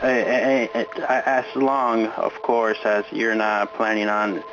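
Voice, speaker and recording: A man's voice: fairly low pitch, little pitch movement, slow pace. A man making a prank call in a conversational style, on a lo-fi recorded phone call. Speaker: prank caller. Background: music.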